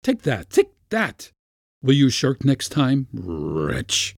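A man's voice: medium pitch, sing-song, measured pace, darkish tone, breathy edge, no echo, studio quality. A man voicing a pompous, short-tempered Latin schoolmaster in a storybook reading, scolding and giving orders. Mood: furious. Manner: scolding, commanding, ranting. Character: irascible Latin schoolmaster uncle, pompous and furious.

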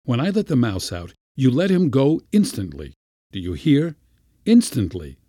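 A man's voice: medium pitch, wildly swooping pitch, moderate pace, warm tone, no echo, clean studio sound. A man voicing a pompous, short-tempered Latin schoolmaster in a storybook reading, scolding and giving orders. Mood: exasperated. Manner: scolding, commanding, ranting. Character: irascible Latin schoolmaster uncle, pompous and furious.

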